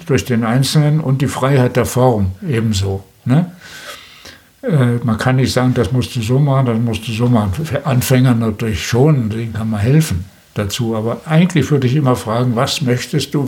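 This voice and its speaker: Older male interviewee, average pitch, veteran radio maker, reflective and explanatory in conversation. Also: moderate pace, darkish tone.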